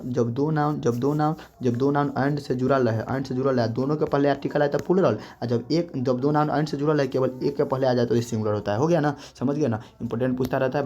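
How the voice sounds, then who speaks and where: A man's voice: medium pitch, dark tone, muffled recording. Man explaining grammar. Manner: repeats points, drawn-out example phrases. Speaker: teacher.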